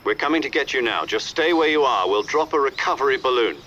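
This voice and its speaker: An actor playing a steady, authoritative military commander in a game cutscene, firm, reassuring and businesslike. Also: male voice, mid-range voice, fast, lo-fi sound, a little hiss or hum. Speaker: mission commander. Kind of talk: dramatic game cutscene acting.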